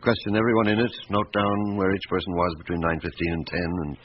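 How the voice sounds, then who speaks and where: Casting: male actor as grave, methodical detective inspector, extremely low voice with gravel, muffled radio-play sound. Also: fast, natural voice. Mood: quietly commanding. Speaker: actor playing a police chief inspector. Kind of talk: radio drama acting. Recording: muffled, lightly noisy radio recording.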